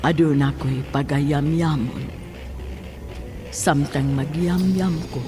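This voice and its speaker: A man acting a commanding occult ritual leader in a horror audio drama, speaking slowly, deliberately and solemnly. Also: medium pitch. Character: commanding occult ritual leader chanting mock-Latin incantations. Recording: loud music over the voice.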